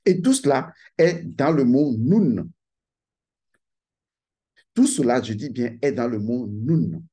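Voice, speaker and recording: A man's voice: medium pitch, expressive, slow pace, mellow, slightly rough. Emphatic man lecturing. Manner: emphatic and insistent, repeats key phrases. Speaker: channel host giving a talk. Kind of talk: lecturing monologue to camera.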